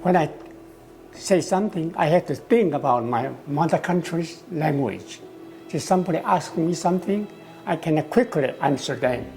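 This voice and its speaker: Low, mellow Taiwanese-accented woman. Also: expressive, moderate pace, gravelly, soft background music. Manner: short, simple non-native phrases. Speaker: documentary interviewee. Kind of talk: personal account.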